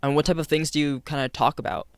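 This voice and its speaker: A young man hosting a podcast, asking questions in a relaxed, affirming way and often saying "kinda.". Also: on the high side, fast, clear, resonant tone, no room sound. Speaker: podcast host. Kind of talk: casual interview chat.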